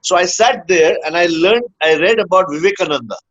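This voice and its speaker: A man's voice: high voice, fairly quick, fairly bright tone. A man from India, a leadership coach telling his story in a casual interview, full of fillers and "huh?" tags. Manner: rambling, many "uh" fillers and tag "huh?". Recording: dull lo-fi audio.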